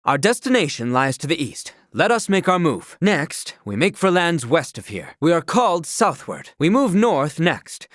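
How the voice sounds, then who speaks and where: Formal, expressive courtly attendant. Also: male voice, a little high, moderate pace, hardly any pauses, no room sound, clean studio sound. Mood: courteous, composed. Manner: formal, archaic, courtly diction. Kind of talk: video game character voice lines.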